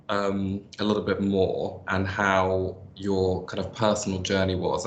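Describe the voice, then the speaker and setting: A man hosting a casual interview, friendly and softly spoken. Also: fairly low pitch. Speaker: network host. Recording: echoey room with faint hum.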